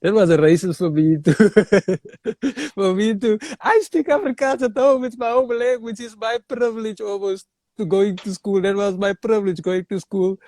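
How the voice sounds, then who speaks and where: A young South African comedian in casual interview chat, telling stories in a lively way and saying "bruh". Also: male voice, sits high, moderate pace, no echo. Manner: animated storyteller, says "bruh". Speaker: comedian guest.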